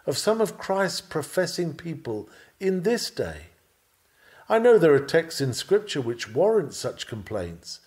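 A man's voice: mid-range voice, a little mellow. A man reading a written sermon aloud in an earnest, emphatic and formal preaching manner. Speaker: reader of a sermon text.

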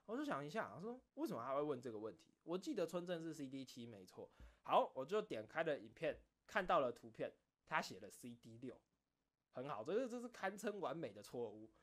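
A man chatting casually on his own as a streamer, rambling through stories in an animated, self-deprecating way. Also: fairly high pitch, a little fast. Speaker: streamer and video creator. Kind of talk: casual solo chat, recounting behind-the-scenes stories.

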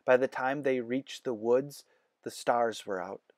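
A man's voice: mid-range voice, a little slow, no echo. A man narrating a children's book as a read-aloud. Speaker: storybook reader.

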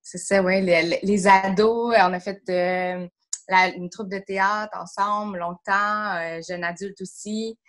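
A woman's voice: mid-range voice, fairly even intonation, unhurried, a bit bright. A woman in her thirties from Sherbrooke with a Quebec French accent, chatting in a friendly way on a video call from home. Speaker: drama teacher. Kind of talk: casual video-call interview. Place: home room with bookshelf. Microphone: laptop camera on a video call.

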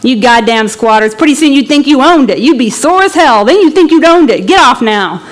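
Lady reading as a nasty bully deputy from a novel, blunt, country talk, voice pushed up high. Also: expressive, a little fast. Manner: blunt, bullying, folksy rural dialect. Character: hostile, swaggering deputy sheriff, contemptuous of migrants. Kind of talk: storybook villain in a literary reading.

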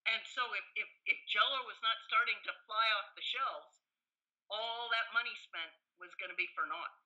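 A woman's voice: medium pitch, moderate pace, muffled recording. An older woman, a guest academic, telling stories conversationally in an interview, acting out quotes and often searching for words. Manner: animated quoting, hesitant searching for words. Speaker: guest, professor and author.

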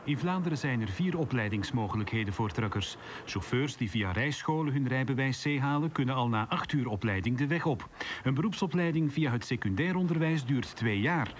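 A man's voice: medium pitch, animated, a little fast, a little hiss or hum. Flemish male news narrator. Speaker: reporter.

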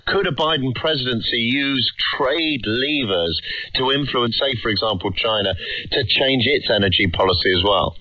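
A man's voice: medium pitch, animated, moderate pace, no echo. A man presenting a current-affairs radio programme, asking questions and reading scripted links. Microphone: broadcast heard over shortwave radio. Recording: muffled shortwave radio capture.

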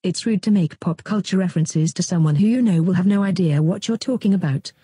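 A synthetic computer voice as a snarky, bossy chatbot sidekick, deadpan and scolding. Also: mid-range voice, a little fast, slightly rough, dry recording, studio quality.

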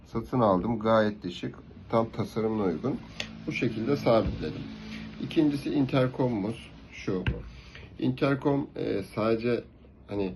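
A man's voice: on the low side, a little mellow, slight background noise, rough recording. A man talking casually and explaining gear to camera in a conversational vlog style. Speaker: motorcycle vlogger.

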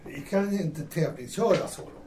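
A man's voice: fairly high pitch, natural voice. An actor in an audio drama playing a patient, dry-humoured male friend. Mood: dry, teasing. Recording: roomy, distant mic, faint noise.

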